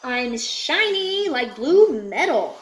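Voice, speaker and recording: Woman as a proud, high-voiced storybook shark. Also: very animated, slow, heavily acted. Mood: proud explaining. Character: assorted proud sharks introducing themselves in storybook.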